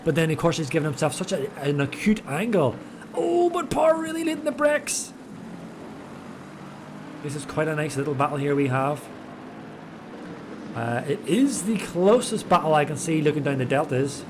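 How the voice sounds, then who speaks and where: A man giving chatty, excitable live commentary on an online race, stumbling over drivers' names. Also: fairly high pitch, normal speed, pauses often, noisy background. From Ireland. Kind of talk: live sim racing commentary.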